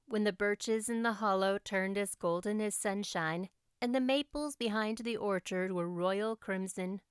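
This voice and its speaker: A woman reading an audiobook as narrator, with measured, expressive storybook delivery. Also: medium pitch, clean tone, no room sound, studio quality.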